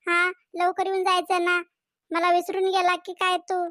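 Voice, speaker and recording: A woman doing a high-voiced lovesick village girl. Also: completely flat delivery, normal speed, fairly bright tone, no room sound, studio quality. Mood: reproachful. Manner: pleading, emotional. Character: lovesick young village woman, emotional and pleading. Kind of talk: cartoon comedy voice acting.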